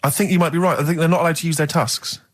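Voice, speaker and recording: A man's voice: a little high, fairly even intonation, very fast, dry recording, clean studio sound. A man co-hosting a comedy radio show, chatting casually with mock-earnest questions. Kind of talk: casual comic chat. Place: radio studio.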